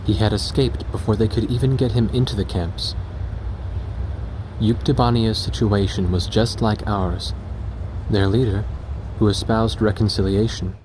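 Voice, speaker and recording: A man acts as a sober pilot narrator in a video game. His voice sits fairly low and moves in measured, reflective phrases. Some noise runs under the recording. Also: a little mellow, close to an everyday voice, rough recording. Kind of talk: scripted narration.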